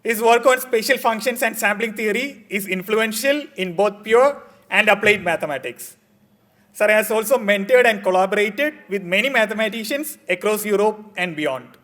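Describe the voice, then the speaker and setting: A man reads a formal introduction in a measured, scripted manner. His voice is notably high for a man. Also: expressive, fairly bright tone. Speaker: conference emcee. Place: conference hall.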